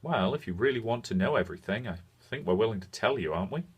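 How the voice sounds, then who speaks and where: A man's voice: fairly low pitch, animated, quick pace, breathy edge. A man acting a courteous, wry demon fighter in an audio story, speaking in polite understatement. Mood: reassuring.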